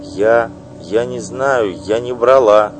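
A man's voice: medium pitch, slow, background noise, pitched above the performer's own voice, lightly acted. A man doing a flustered young singer woman. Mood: defensive. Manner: timid, evasive, whining. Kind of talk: audiobook reading.

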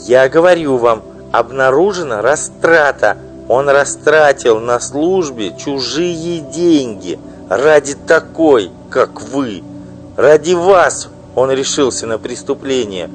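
A man voicing an indignant wronged wife who pleads and accuses in a high, loud voice for an audiobook. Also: animated, measured pace, soft background music. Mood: furious. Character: indignant wronged wife, middle-aged woman. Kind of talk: audiobook reading.

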